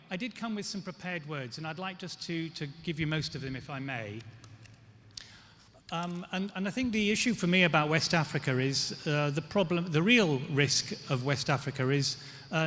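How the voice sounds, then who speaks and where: A man's voice: a little high, normal speed, a bit bright. A middle-aged man from England, raised in Nigeria, giving a chatty, joking conference speech. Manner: chatty, joking and self-deprecating. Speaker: guest speaker, PR executive. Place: large event hall. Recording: long hall reverb, faint room noise.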